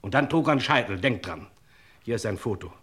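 Brisk, husky male scheming fixer. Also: lowish voice, lively intonation, fairly bright tone. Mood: instructing. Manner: persuasive, matter-of-fact, takes charge. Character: brisk, scheming male friend of a Hollywood film star. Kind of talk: German radio crime drama acting.

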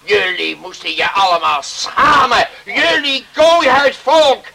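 A man's voice: sits high, animated, takes it slowly, creaky, bright, forceful tone, heavily acted. An actor playing an irate, scornful village man in a Dutch radio play, scolding in a declamatory way. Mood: scornful ranting.

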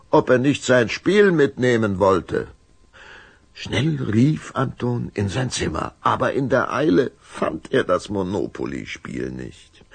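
A man narrating a scripted radio play story in an expressive storytelling manner. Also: on the low side, creaky, breathy edge, no room sound.

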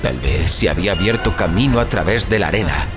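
A male narrator with a fairly low voice reads a horror story dramatically. His delivery is highly varied in pitch, with loud music running underneath. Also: lively intonation, fast.

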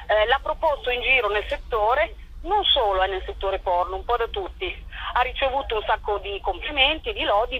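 A woman's voice: on the high side, fairly bright tone, breathy edge, poor audio. A woman guest on a radio interview by telephone, explaining and retelling a story conversationally. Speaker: actress and playwright guest.

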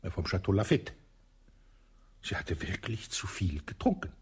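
A sly, evasive colonel. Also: male voice, low voice, sing-song, moderate pace, darkish tone, husky, exaggerated voice. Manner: evasive, dry sarcasm, drops to whispers. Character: sly elderly male colonel, suspected wife-killer. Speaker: colonel under questioning. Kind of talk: radio play acting.

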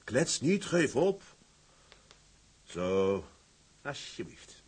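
An actor playing a gruff, reserved middle-aged seafarer with a tender side in a drama, speaking bluffly and colloquially. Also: male voice, mid-range voice, big pitch swings, drawn-out words, full character voice. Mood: bluff, pleased. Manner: bluff, mildly swearing, colloquial. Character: gruff, reserved seafaring man, older suitor, tender underneath. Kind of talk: dramatized dialogue acting.

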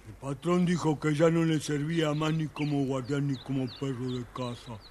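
An actor playing a touchy, grumbly old toothless dog in a children's audio story, woofing between phrases. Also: male voice, on the high side, fairly even intonation, normal speed, noisy background, lightly acted. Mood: rueful. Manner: barks out woofs between phrases.

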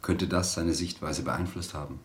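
A male interviewer in a radio drama, acted by a man, polite but probing. He has a low voice and puts his questions in a measured, everyday manner. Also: fairly even intonation, close to an everyday voice. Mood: inquiring.

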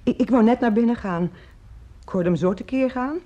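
A woman's voice: fairly high pitch, moderate pace, warm tone, muffled recording, lightly acted. A woman acting in a radio play as a practical, complaining sister-in-law of a drunkard, chatty and matter-of-fact. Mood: confiding, worried.

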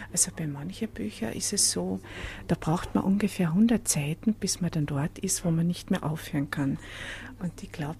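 Mellow, lowish-voiced woman. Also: gravelly.

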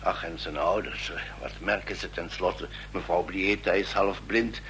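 An actor playing an experienced, chatty, slightly weary family doctor of middle age in a Dutch radio drama. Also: male voice, deep voice, moderate pace, fairly bright tone. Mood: resigned. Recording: noisy, humming old radio recording.

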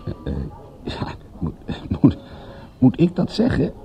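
An actor playing a witty, easygoing private detective, talking in a teasing, conversational way in a radio play. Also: male voice, medium pitch, huge pitch swings, slow pace, mellow. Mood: earnest, hesitant. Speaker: detective in dialogue. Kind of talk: radio play dialogue, naturalistic.

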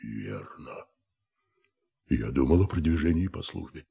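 An actor in a radio play playing a stern, suspicious army general with a clipped, officious, interrogating manner. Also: male voice, extremely low pitch, expressive, unhurried, gravelly, mellow, breathy edge, exaggerated voice. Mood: probing. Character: stern, suspicious male army general guarding his country.

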